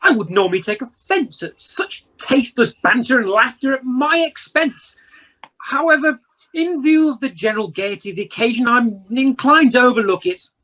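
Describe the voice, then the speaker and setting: A man's voice: very high-pitched, moderate pace, sharp tone, exaggerated voice. A man playing an elderly, humbled miser with formal, theatrical delivery in an amateur radio play. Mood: stiffly magnanimous. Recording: noisy amateur video call audio.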